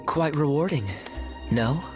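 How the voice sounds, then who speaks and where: An actor voicing a suave, courteous knight officer in a video game, with a confident, playful manner and gallant, formal phrasing. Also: male voice, a little high, takes it slowly. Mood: gallant, charming. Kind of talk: video game cutscene voice acting. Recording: music behind the voice.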